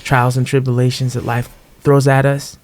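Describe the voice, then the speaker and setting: A man's voice: mid-range voice, little pitch movement, moderate pace, darkish tone. A man hosting a podcast in a casual, conversational way. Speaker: podcast host, music artist.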